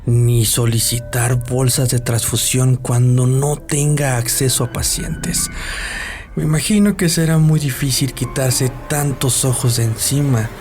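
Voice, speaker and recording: A man's voice: medium pitch, measured pace, a little mellow, close to an everyday voice. A man playing a sullen, weary young male nurse with a sardonic edge in an audio drama. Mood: pointed, challenging. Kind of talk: audio drama character acting. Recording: music behind the voice.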